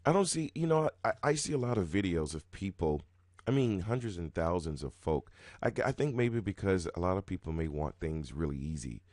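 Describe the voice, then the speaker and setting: A man hosting a roulette strategy video, talking casually in a low, groggy morning voice, rambling with many restarts and sudden jumps in pitch and loudness. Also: expressive, fairly quick, no room sound, studio quality. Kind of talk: casual talking-head explanation.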